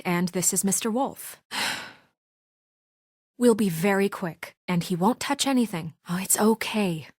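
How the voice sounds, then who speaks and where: A woman voicing a brisk, authoritative, no-nonsense woman administrator under pressure in a video game, crisp, earnest and firm. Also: medium pitch, no room sound, studio quality, natural voice. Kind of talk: naturalistic video game voice acting.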